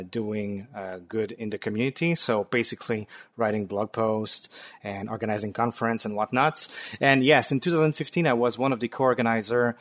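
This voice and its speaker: A man in his thirties from Quebec with a French Canadian accent, a guest on a casual podcast interview who pauses often with "uh" fillers. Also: medium pitch, a bit bright. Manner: thoughtful, frequent "uh" fillers. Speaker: guest developer.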